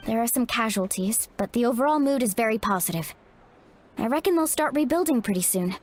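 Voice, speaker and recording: High-voiced, upbeat young tribeswoman. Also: expressive, brisk, darkish tone, faint music behind. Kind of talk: scripted video game voice acting.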